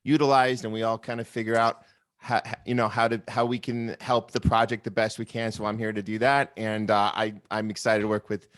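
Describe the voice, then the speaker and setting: A man's voice: lowish voice, level tone, brisk, a bit bright. A man casually introducing himself on a video call, speaking in hesitant, stop-start phrases. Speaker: actor and filmmaker.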